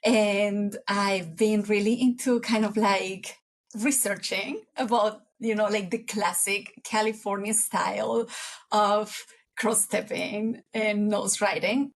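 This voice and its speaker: A woman, chatting as an interview guest in an unhurried way, often searching for words. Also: mid-range voice, a little hiss or hum. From Colombia. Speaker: guest surfer. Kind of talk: casual interview chat.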